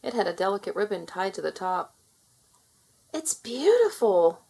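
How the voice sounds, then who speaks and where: A woman reading a children's storybook aloud in expressive narration for kids. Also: lowish voice, fast, vocal fry.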